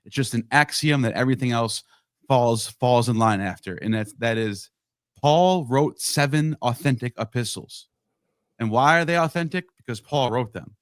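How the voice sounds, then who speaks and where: Halting, restarting man in podcast chat. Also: mid-range voice, no echo, clean studio sound. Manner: talks in bursts with many restarts. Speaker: podcast co-host or guest. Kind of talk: casual podcast discussion.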